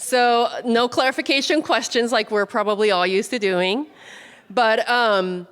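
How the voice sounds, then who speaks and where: A woman's voice: fairly high pitch, measured pace, fairly bright tone, clear, resonant tone. A woman casually leading a group icebreaker in a large hall, chatty with many fillers. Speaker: workshop facilitator. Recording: hall reverb from a room mic.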